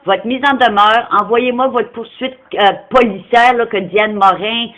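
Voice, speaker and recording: Woman, Quebec French, non-native speaker, higher-pitched, insistent, confrontational, phone-call argument. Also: steady tone, measured pace, a bit bright. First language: not French, non-francophone. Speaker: caller confronting someone over animal welfare.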